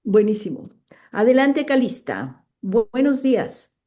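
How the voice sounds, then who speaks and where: A woman's voice: fairly high pitch, very animated, a little slow, warm tone. A woman with a Mexican accent moderating an online book launch, reading out chat comments with frequent fillers over a video call.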